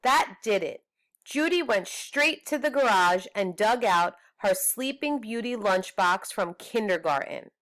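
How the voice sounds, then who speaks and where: A woman teacher reading a children's storybook aloud in a measured, deliberate way. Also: medium pitch, lively intonation, fairly bright tone. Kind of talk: storybook read-aloud narration.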